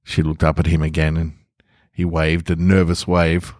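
A man's voice: a bass voice, completely flat delivery, normal speed, a little mellow, dry recording, studio quality. A man hosting a podcast, talking casually and reading short stories aloud as narrator. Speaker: podcast host and author reading own stories.

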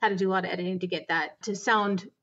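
A woman's voice: fairly low pitch, fairly even intonation, fast, a bit bright. Earnest woman guest, muffled sound. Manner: earnest, enthusiastic, long run-on answers. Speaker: audio drama producer, guest. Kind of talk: conversational interview answers.

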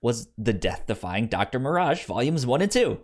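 Male podcast co-host, highly animated intonation, casual discussion style, halting delivery with frequent restarts. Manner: halting, repeats words and restarts often.

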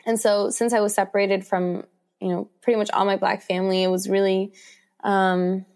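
Woman, American accent, medium pitch, reflective, conversational, thinking aloud, interviewed author. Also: level tone, normal speed, darkish tone. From Washington DC, United States.

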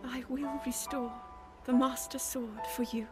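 A young game princess, tender yet resolute. She speaks high and slowly, with loud music swelling behind her. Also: fairly even intonation. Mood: tender resolve. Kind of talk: video game cutscene acting.

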